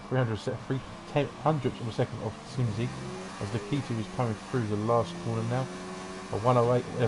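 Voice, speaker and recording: A man giving relaxed, rambling commentary on an online racing qualifying session. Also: mid-range voice, noisy background. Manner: relaxed, rambling, self-deprecating asides. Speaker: race commentator.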